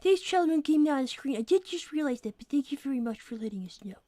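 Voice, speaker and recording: Lady doing a super high little cartoon fox kid, know-it-all, kinda defensive, close dry mic. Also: expressive, fast, mellow, dry recording. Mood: flustered, bemused. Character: young cartoon fox boy genius, smug, defensive, earnest. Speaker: livestream co-host. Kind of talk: in-character improvised livestream chat.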